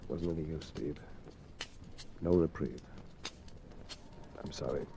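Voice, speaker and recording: An actor playing a sorrowful, subdued prison chaplain in a radio drama. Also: male voice, very deep voice, slow pace, creaky, warm tone, exaggerated voice. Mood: regretful. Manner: subdued, regretful. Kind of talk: 1940s radio drama acting. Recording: hissy old radio recording.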